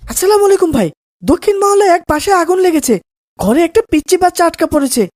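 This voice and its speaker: Curious boy pal played by a woman, children's cartoon: high, eager, earnest; dry recording. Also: expressive, fast talker, darkish tone, no echo. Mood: urgent. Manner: earnest, questioning.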